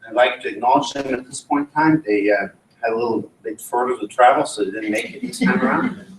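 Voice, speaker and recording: A man's voice: mid-range voice, big pitch swings, a little fast, a little hiss or hum. A man from Switzerland giving an academic conference talk and putting questions to the audience. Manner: engaging, asks the audience questions. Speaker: conference presenter. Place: conference room. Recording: roomy, mic at a distance.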